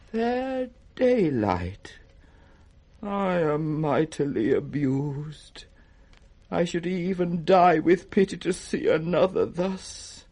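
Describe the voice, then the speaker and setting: An actor with a British accent playing a frail, confused old king in a 1960s radio Shakespeare, his voice cracked, halting and theatrical. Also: on the high side, darkish tone, full character voice. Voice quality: raspy, cracked.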